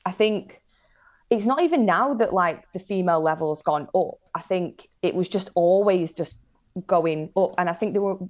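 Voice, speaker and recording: A woman's voice: on the low side, moderate pace, a little mellow, muffled recording. A woman in her late twenties with a Northern English accent, chatting casually as a podcast guest in an enthusiastic, slangy, informal way. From northern England, Manchester and Blackpool area. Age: late 20s. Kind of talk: casual chat, personal anecdotes.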